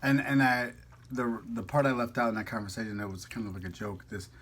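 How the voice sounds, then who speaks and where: A man's voice: mid-range voice, little pitch movement, fairly quick, a bit bright. A man chatting casually and playfully in a food vlog, rambling a little as he talks while eating. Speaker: food vlogger. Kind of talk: casual mukbang chat.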